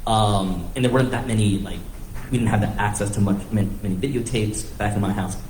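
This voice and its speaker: A man's voice: lowish voice, a little fast, darkish tone. An American man telling personal stories casually on a panel, interrupting himself as he thinks aloud. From northeastern United States and Thailand. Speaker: comics writer on a panel. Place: live panel event in a room. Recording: echoey room with faint background noise.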